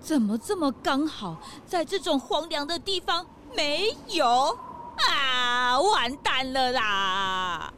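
A woman playing an excitable, childlike, whiny young detective's sidekick in a children's audio drama. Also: high voice, takes it slowly, a little hiss or hum, heavily acted. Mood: dismayed, whiny. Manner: childlike, chatty, dramatic, easily panicked. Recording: music behind the voice.

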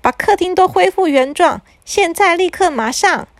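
An exasperated mother in a children's storybook scolds her son in a high, sharp voice , as a woman acts her out. She speaks with a Taiwanese Mandarin accent. The recording is close and dry. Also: lively intonation, moderate pace, no echo. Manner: sharp, demanding.

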